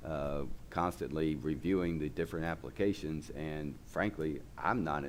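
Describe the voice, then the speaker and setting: A man chairing a public commission meeting, speaking in a measured, deliberate way. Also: extremely low pitch, a little hiss or hum. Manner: measured, deliberate, directs the room. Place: large meeting room.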